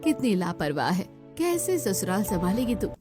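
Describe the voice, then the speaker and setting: A woman's voice: mid-range voice, very animated, moderate pace, over music, lightly acted. A woman voicing a worried, scolding middle-aged mother in a cartoon story. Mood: fretful scolding. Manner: nagging.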